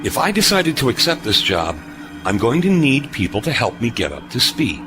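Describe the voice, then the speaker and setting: An actor playing a retired starship captain in an audio drama, wry, understated and measured. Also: male voice, mid-range voice, sing-song, over music, natural voice. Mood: diplomatic.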